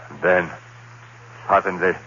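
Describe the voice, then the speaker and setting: A man playing a terrified condemned prisoner recounting his nightmare in halting, anguished phrases, in the style of 1940s radio horror. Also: low voice, steady tone, bright, forceful tone, husky, highs cut off, heavily acted. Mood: brooding fear. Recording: noisy, band-limited old radio recording.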